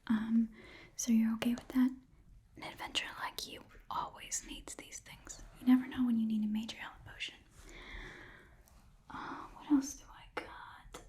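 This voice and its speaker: A soft, breathy whisper with a mellow tone and a slightly high pitch carries this easygoing goblin shopkeeper, played by a woman. The delivery is an ASMR fantasy roleplay, casual and rambling, gently haggling over wares with an adventurer. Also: level tone, moderate pace, lightly acted. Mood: casual, helpful.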